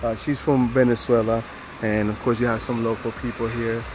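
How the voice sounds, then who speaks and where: A man in his forties talks straight to a handheld camera while walking around outdoors, loose and rambling, leaning on fillers and restarts. His voice sits at a middle pitch, and faint background noise runs under it. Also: flat delivery, fairly quick. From United States. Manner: rambling, filler-heavy, friendly aside-style talk. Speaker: travel vlogger. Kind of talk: casual vlog narration to camera. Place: outdoors at a rainforest park.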